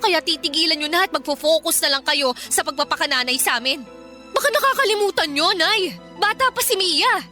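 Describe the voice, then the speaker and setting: A woman's voice: very high voice, sharp tone, full character voice. A woman with a Filipino accent playing a fed-up, protective young elder daughter in a radio drama, emphatic and reproachful. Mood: angry, reproachful.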